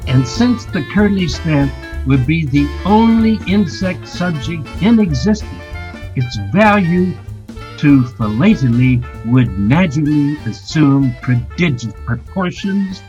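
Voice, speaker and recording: Man doing a slow, muffled, pompous expert. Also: a little high, animated, a little mellow, soft background music. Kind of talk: old time radio drama acting.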